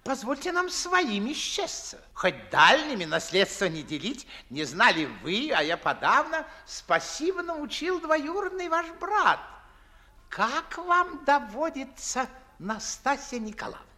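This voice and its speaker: An older man playing a fawning, garrulous Moscow gentleman in a 1950s radio verse comedy, with effusive theatrical declamation. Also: very high voice, very animated, unhurried, heavily acted. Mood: ingratiating.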